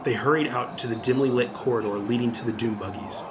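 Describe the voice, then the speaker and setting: A man's voice: mid-range voice, steady tone, quick pace, noisy background. A man narrating a scripted adventure audiobook as the storyteller.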